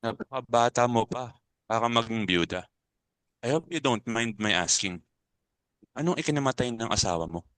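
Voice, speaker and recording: A smooth, clear Filipino man's voice at middling pitch, close and dry, an actor playing a blunt uncle in an audio drama. He is nosy and grumbles freely. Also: expressive, normal speed, long pauses, fairly bright tone, dry recording, natural voice. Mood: curious. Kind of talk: dramatic reading.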